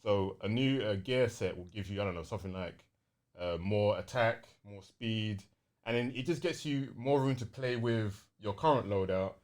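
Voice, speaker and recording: A man's voice: on the low side, moderate pace. A young man with a London accent chatting casually and enthusiastically on a gaming podcast, using plenty of slang.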